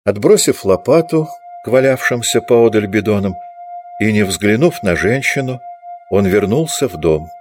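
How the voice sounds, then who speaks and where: A man narrating a dramatic literary audiobook with measured, deliberate phrasing. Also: on the low side. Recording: music behind the voice.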